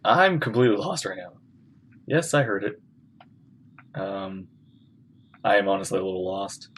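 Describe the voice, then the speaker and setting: Male game streamer. Very deep, lively intonation, casual, thinks aloud, faint noise in the background. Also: normal speed. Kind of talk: casual live commentary.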